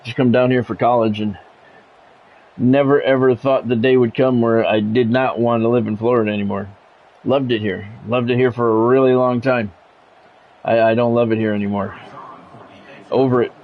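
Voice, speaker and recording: A man's voice: medium pitch, steady tone, normal speed, long pauses, phone-quality sound. A middle-aged American man with a blunt, grumbling manner, switching between casual profane chat and sports play-by-play on a livestream. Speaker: game streamer and sports play-by-play announcer.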